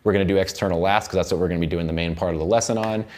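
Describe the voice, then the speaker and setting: A young man teaching music production in a studio, explaining software in an informal, rambling way. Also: sits low, fairly even intonation, very fast. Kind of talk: casual software tutorial. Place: indoor recording studio.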